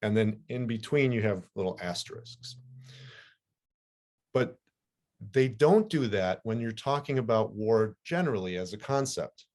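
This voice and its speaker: A man whose first language is Ukrainian, hosting a podcast and explaining things in a conversational, animated way with frequent restarts. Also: fairly low pitch, unhurried. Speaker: podcast host. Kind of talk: conversational interview.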